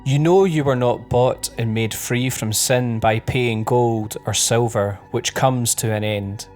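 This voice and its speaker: Male scripture reader, medium pitch, formal delivery, faint music behind. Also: a little slow, darkish tone. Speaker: Bible reader.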